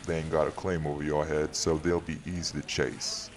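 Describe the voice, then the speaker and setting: A man playing a weary, wry, protective vampire city leader in an audio roleplay, speaking in a measured, dry, teasing way. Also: very deep voice, little pitch movement. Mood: calm, reassuring. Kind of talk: audio roleplay drama acting.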